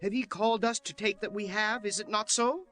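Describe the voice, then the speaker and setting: Menacing ancient townsman for Bible drama male actor: sharp, raised pitch, taunting and insistent, light music underneath. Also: fairly even intonation, fairly quick. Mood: threatening. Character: pushy, menacing Philistine townsman of ancient times. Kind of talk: dramatized scripture acting.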